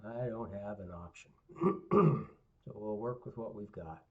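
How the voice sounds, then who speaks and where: Male guitar teacher, hoarse and raspy, unhurried friendly delivery, muffled livestream sound. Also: lowish voice. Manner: relaxed, rambling, friendly asides to viewers. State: hoarse, clearing throat often. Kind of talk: live online lesson, casual talk. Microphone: computer mic on a live stream. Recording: dull lo-fi livestream audio.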